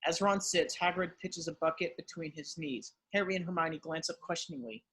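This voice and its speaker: A man's voice: a little high, fairly quick, fairly bright tone, slightly rough. A man narrating screenplay directions at an amateur table read.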